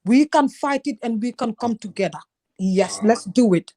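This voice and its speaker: A woman from Gambia talks with passion on a casual group call. Her voice sits a bit high. She keeps acting out her own past words as she tells the story. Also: expressive, normal speed, mellow. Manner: passionate, emphatic storytelling, quotes herself often.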